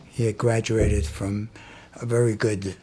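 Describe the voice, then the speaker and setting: A man, recounting his family background deliberately. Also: fairly low pitch, level tone, mellow, slightly rough. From Yugoslavia. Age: 80s. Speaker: interviewee.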